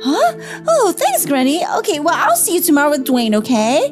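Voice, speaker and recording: Woman voicing a high-pitched sleepy schoolgirl. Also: huge pitch swings, a little fast, faint music behind. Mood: reassuring. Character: sleepy, dutiful schoolgirl big sister. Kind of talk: animated roleplay story voice acting.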